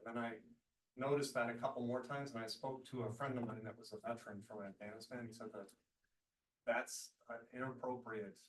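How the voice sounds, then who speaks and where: A man's voice: medium pitch, steady tone, brisk, a crowd in the background. A man telling a story from his tutoring with a hesitant, thoughtful manner. The room adds echo, and he sounds far from the mic. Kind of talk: sharing a personal story. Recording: roomy, distant mic.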